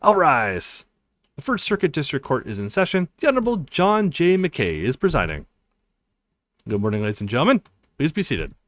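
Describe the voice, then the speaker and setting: A man's voice: a little high, wildly swooping pitch, normal speed, a bit bright, no room sound. A man playing a stern, formal court official in a visual novel, announcing in a ceremonious manner.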